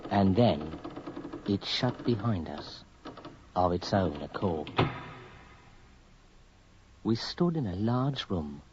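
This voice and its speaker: An actor playing the narrator of a radio horror drama, telling his eerie story in a measured, ominous way. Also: low voice, sing-song, heavily acted. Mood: ominous, haunted. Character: man narrator recalling a sinister, eerie encounter. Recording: muffled, noisy old radio broadcast recording.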